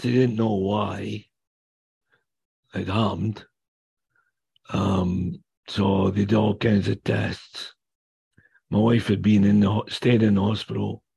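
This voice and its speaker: Raspy, gravelly older man. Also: on the low side, darkish tone. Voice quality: raspy voice from damaged vocal cords. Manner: plainspoken, blunt, mild swearing. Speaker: guest speaker sharing recovery story. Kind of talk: personal testimony talk.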